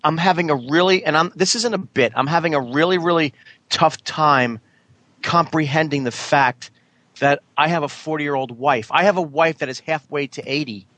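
A man in his late thirties co-hosting a casual talk show, halting and repeating himself in self-mocking bits. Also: fairly high pitch, pushed and bright. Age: 30s. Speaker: podcast co-host. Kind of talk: casual banter.

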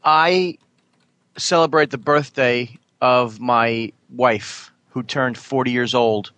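Bright, halting man in his thirties. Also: mid-range voice, fairly even intonation, no room sound. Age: 30s. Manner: halting, repetitive, self-mocking. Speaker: podcast co-host. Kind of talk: casual banter.

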